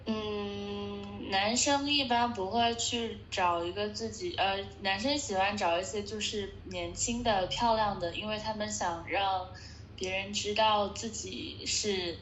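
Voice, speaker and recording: Female interpreter, halting delivery with fillers, roomy echo. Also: medium pitch, steady tone, fairly bright tone, faint music behind. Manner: hesitant, with many fillers. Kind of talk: consecutive interpreting.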